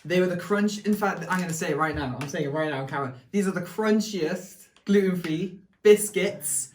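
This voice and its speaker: A man's voice: high-pitched, normal speed, a little mellow, a little hiss or hum. Emphatic Welsh man, roomy echo. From Wales. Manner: emphatic, enthusiastic verdicts. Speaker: host. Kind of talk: casual food tasting chat.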